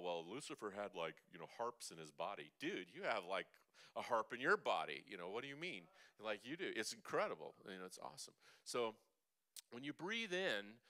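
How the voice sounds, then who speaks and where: A man's voice: medium pitch, bright, forceful tone. A man teaching a vocal seminar, chatty and jokey, with playful asides to the room. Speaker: vocal coach.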